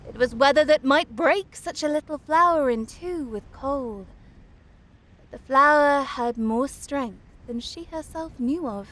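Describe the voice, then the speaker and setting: A woman narrating a fairy tale as an audiobook reader, expressive, careful and measured. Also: high-pitched.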